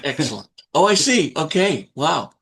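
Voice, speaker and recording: A man's voice: fairly high pitch, very animated, normal speed, vocal fry, a bit bright, slightly rough. A man hosting a casual interview, talking with many fillers and restarts. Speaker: interviewer host. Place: Vancouver Island.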